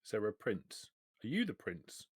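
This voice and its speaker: A man's voice: lowish voice, wildly swooping pitch, normal speed, husky. A man playing in a tabletop roleplaying game, chatting casually in character. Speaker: player.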